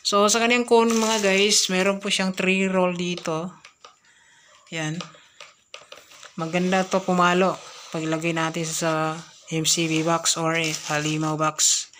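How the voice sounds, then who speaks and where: A woman with a Filipino accent giving a casual hands-on product review, speaking off the cuff in short, halting phrases. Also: fairly low pitch, a bit bright, slight background noise.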